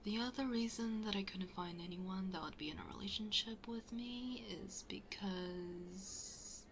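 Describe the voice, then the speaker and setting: Woman acting bashful best friend, slow and breathy. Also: mid-range voice, a little hiss or hum, lightly acted. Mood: embarrassed, confessing. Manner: hesitant, bashful, often dropping to near-whisper. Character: shy, flustered best friend hiding a crush. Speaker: ASMR roleplay performer. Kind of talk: ASMR roleplay, intimate casual conversation.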